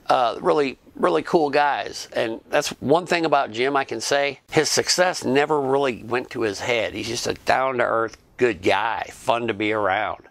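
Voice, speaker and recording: A man's voice: mid-range voice, a little slow, creaky, few pauses. Easygoing man recounting a concert trip. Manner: relaxed, chatty, with small restarts. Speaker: YouTube vlogger and fan interviewer. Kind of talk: casual recounting narration.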